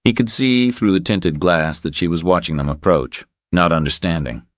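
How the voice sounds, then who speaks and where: A man's voice: low-pitched, normal speed, dry recording. A man reading a scripted thriller as an audiobook narrator.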